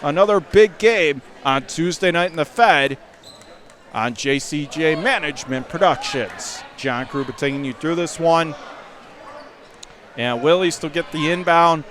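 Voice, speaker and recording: A man doing live radio play-by-play of a high school basketball game from the gym, emphatically restating the score and clock. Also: measured pace, a bit bright. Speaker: play-by-play sports announcer.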